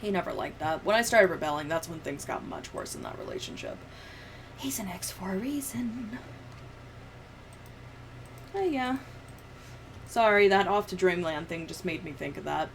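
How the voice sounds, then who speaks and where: A woman's voice: lowish voice, wildly swooping pitch, moderate pace, pauses often. Sardonic woman, noisy background. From United States. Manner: sweary, sardonic. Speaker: livestreamer editing a video. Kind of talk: casual livestream chat. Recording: clear background noise, room ambience.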